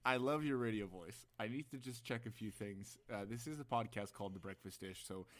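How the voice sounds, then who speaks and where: A man's voice: on the low side, brisk, fairly bright tone. A young man co-hosting a casual podcast with playful, teasing banter. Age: young adult. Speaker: podcast co-host.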